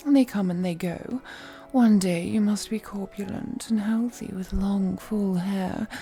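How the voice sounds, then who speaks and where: Woman acting as ancient flirty vampire lady, courtly and refined, really unhurried, some creak in the voice, roleplay audio w music behind. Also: medium pitch, warm tone. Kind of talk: scripted roleplay audio, intimate one-sided dialogue to the listener.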